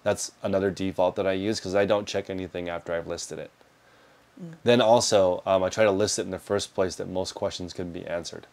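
A man in his thirties with a deep, low voice, talking through viewer questions in a relaxed, practical way. He gestures as he speaks, and a lapel mic picks him up up close in a storage room lined with shelves. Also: a little fast. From United States. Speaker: reselling business host answering viewer questions. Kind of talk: casual livestream Q&A. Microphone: clip-on lapel mic.

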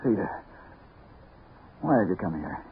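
A man's voice: low-pitched, very animated, a little slow. An American actor playing a haunted hard-boiled detective obsessed with a woman, in 1950s radio noir style. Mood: agitated, yearning. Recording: noisy, phone-like 1950s radio recording.

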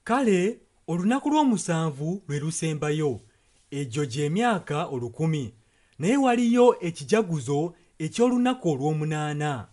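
A Ugandan man serves as a sermon interpreter. His voice sits a little high for a man, and he delivers the preaching in short translated passages. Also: big pitch swings, moderate pace, a little mellow.

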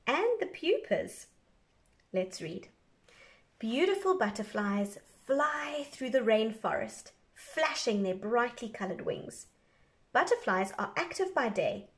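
A woman teacher reads aloud to young children. Her voice sits a little high. She takes it very slowly, with measured, instructional phrasing and carefully formed words.

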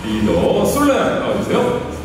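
A man's voice: high voice, huge pitch swings, measured pace, creaky. A man hosting a live music showcase, upbeat and joking as he cues each performer. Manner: upbeat, joking, directing poses. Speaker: event emcee. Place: large indoor stage with music. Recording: roomy, far off, loud music.

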